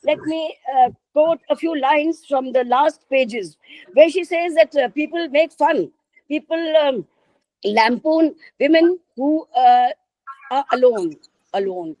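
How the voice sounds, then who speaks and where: A woman giving a literature lecture, measured and repeating phrases for emphasis. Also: high voice, dark tone, dry recording, low-quality recording. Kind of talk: academic lecture.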